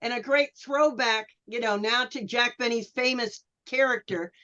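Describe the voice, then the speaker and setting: A woman giving casual, informed commentary as a panelist, explaining things deliberately over dull online call audio. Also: fairly high pitch, steady tone, pushed and bright. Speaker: podcast panelist.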